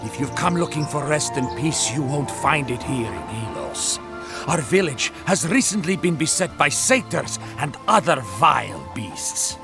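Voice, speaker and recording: An actor playing an anxious ancient villager in a video game, urgent and declamatory. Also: male voice, fairly high pitch, big pitch swings, unhurried, creaky, music in the background, exaggerated voice. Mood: urgent, pleading. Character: anxious ancient Greek villager calling for help. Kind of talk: video game character dialogue.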